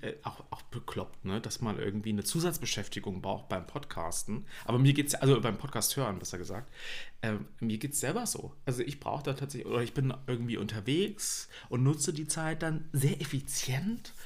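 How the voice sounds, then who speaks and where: Male podcast co-host, 30s, from East Berlin; relaxed, halting conversational delivery. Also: mid-range voice. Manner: hesitant, many fillers. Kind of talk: casual chat.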